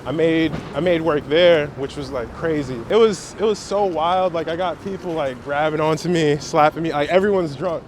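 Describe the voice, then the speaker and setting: A man's voice: a little high, normal speed, few pauses. Slangy New man, noisy street. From Brooklyn, New York. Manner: slangy, conversational. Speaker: street photographer being interviewed. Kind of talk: casual chat. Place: outdoors on city streets. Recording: clear outdoor city traffic noise.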